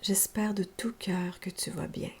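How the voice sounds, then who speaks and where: A woman leading a guided yoga nidra relaxation as a teacher, speaking softly and breathily in gentle, unhurried, soothing instructions. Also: mid-range voice, in a whisper. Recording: faint steady background hiss.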